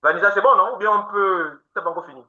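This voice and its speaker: A man hosting a webinar, answering listeners' questions in a steady explaining manner and counting off his points in order. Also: high voice, quick pace. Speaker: webinar host answering questions on natural detox treatments. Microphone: online video call. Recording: dull lo-fi call audio.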